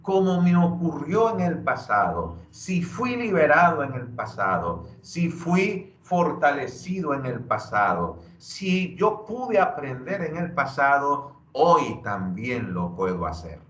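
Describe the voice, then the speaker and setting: A man giving a motivational workshop talk, earnest and preacher-like, slowing down to stress key phrases. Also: on the high side, very animated, fairly bright tone. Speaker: workshop speaker. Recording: dull lo-fi audio in an echoey room.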